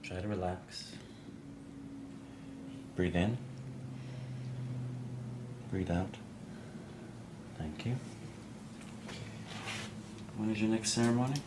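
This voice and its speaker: Hushed, insinuating doctor, noisy background. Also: male voice, on the low side, drawn-out words, long pauses. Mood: clinical, brisk. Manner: hushed, coaxing, clinical. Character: furtive, insinuating doctor offering illicit help. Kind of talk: understated audio drama acting.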